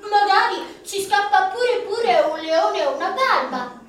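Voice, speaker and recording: A sarcastic, mocking female inner voice, put on by a stage actress. Her very high voice sounds from far off in a very echoey theatre, with faint noise behind. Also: lively intonation, a little slow. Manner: sarcastic asides.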